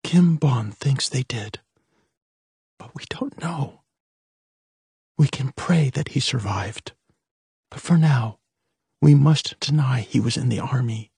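A man's voice: medium pitch, lively intonation, unhurried, a little mellow, slightly rough, dry recording, clean studio sound, pitched above the performer's own voice. A man voicing a frightened, stern mother who whispers urgent warnings to her children and drills them harshly. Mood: tearful.

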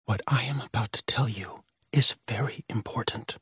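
A man's voice: medium pitch, expressive, unhurried, darkish tone, husky, no echo, studio quality, voice pushed up. A man voicing a frightened, stern mother who whispers urgent warnings to her children and drills them harshly.

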